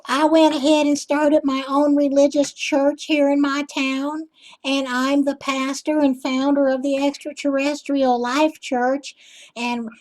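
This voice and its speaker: A woman's voice: sits high, level tone, unhurried, darkish tone, poor audio. A woman putting on a flirty, drawling country lady who calls the caller sweetheart, as a scam baiter.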